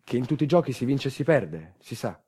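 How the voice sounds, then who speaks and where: A man's voice: medium pitch, sing-song, a little fast, dark tone, husky. An actor playing an earthquake survivor in a theatrical monologue, telling his town's bitter, nostalgic story in incantatory lists. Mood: wistful irony.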